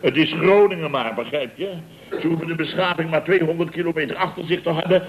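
A man's voice: on the high side, fast, highs cut off, natural voice. Guy playing a blustery dutch contractor boss, old radio sitcom, pompous, stammery, chuckles at himself, full of his own importance. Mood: boastful, indignant. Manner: pompous, stammering repetitions, chuckling, mock indignation. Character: boastful, blustering male building contractor, self-important storyteller. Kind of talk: Dutch radio comedy serial acting.

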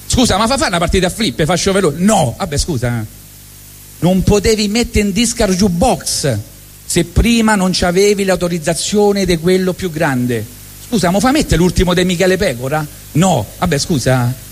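A middle-aged man with a strong Roman accent tells a comic monologue in a wry, deadpan tone, slipping into quick acted asides. His voice sits a little high for a man. The sound carries the long reverb of a hall. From Rome, Italy. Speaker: monologue performer. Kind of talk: comic storytelling monologue on stage. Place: stage at a live benefit event.

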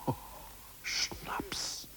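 An actor playing an anxious, complaining ousted party official in a radio drama. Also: male voice, extremely slow, sharp tone, in a whisper. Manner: fretful, plaintive, complaining. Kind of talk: 1980s radio drama acting.

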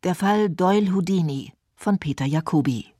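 A woman's voice: on the low side, normal speed, warm tone, a little hiss or hum. A woman announcer reading out the cast credits of a radio drama.

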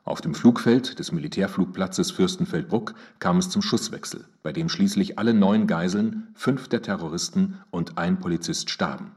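An actor as a documentary narrator in a radio drama, reading scripted facts in a formal, news-like manner. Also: male voice, low-pitched, normal speed, hardly any pauses, a little mellow, close to an everyday voice. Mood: sober, factual. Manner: factual, formal. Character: sober male documentary narrator.

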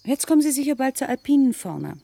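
A woman's voice: sits high, sing-song, fast talker, gravelly, mellow, no echo, full character voice. An actress playing a naive, dawdling female visitor in a radio play, talking in a casual, hesitant, conversational way. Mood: casual, unworried. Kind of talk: naturalistic radio play acting.

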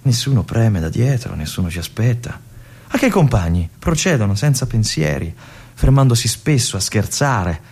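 An actor playing a lonely, obsessive army lieutenant in a stage monologue, musing aloud and swinging between brooding and feverish. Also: male voice, medium pitch, very animated, normal speed, a little mellow, breathy edge. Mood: melancholy. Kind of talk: theatrical monologue for radio.

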